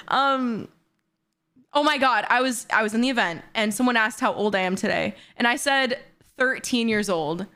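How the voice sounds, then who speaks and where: A woman in her twenties chatting excitedly and bubbly on a livestream from her bedroom. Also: fairly high pitch, moderate pace, clean tone. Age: 20s. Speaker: streamer. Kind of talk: casual livestream chat.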